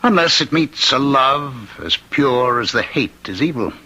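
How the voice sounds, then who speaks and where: A man's voice: mid-range voice, lively intonation, sharp tone, muffled recording. An actor playing a condemned killer in a radio drama, taunting and insistent, articulate and knowing as he claims an undying murderous spirit. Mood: brooding, intense. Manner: articulate, knowing, needling, measured pauses.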